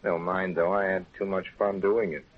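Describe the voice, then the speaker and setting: Man playing a low-voiced, naive small-town dreamer. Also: level tone, fairly quick, close to an everyday voice. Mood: cheerful, sincere. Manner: plain-spoken, earnest. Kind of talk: 1930s radio drama acting. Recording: tinny phone-like 1930s radio, music behind.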